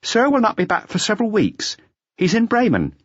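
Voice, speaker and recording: A man's voice: sits high, wildly swooping pitch, fairly quick, dry recording, dull audio, pitched above the performer's own voice, exaggerated voice. A man voicing a curt, hesitant young maid in a detective audiobook.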